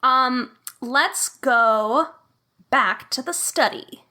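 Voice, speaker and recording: A woman playing a solo tabletop game as guest, expressive and playful, laughing often. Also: high-pitched, drawn-out words. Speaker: player, guest. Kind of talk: casual play and improvised storytelling. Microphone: remote call with headphones.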